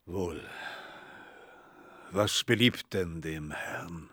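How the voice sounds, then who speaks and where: Very low voice, old sick steward, unhurried, grave, deferential, dry close sound, radio drama. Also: male voice, a bit bright, dry recording, heavily acted. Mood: evasive, courteous. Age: older. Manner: deferential, evasive, archaic formal wording.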